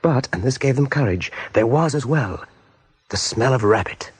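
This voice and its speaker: An actor as the storyteller of a radio play, narrating in measured, dramatic phrases. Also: male voice, mid-range voice, lively intonation, husky. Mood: hushed, suspenseful. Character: measured, suspenseful male storyteller.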